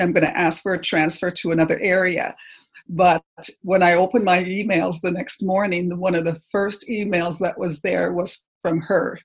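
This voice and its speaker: A middle-aged woman from Canada with no accent, giving a personal testimony in church, hesitant and full of pauses and ums. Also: fairly low pitch, slightly rough. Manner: hesitant, halting, many ums.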